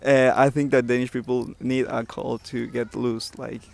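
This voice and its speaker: A young man from Argentina with an Argentine accent, answering street interview questions softly and hesitantly. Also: mid-range voice, level tone, moderate pace, gravelly. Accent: Argentine Spanish. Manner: soft, laughs easily, hesitant.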